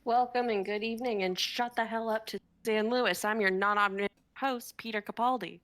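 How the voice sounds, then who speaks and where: A woman's voice: on the high side, steady tone, brisk, fairly bright tone, natural voice. A woman playing a brash, mock-grandiose TV game show host in a comedic live reading. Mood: showy, brash. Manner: showy, insulting, announcer-like.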